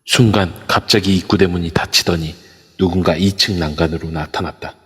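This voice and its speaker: A man narrating an amateur audio drama in a steady storytelling manner. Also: low voice, moderate pace, slightly rough. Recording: long reverb over a voice app.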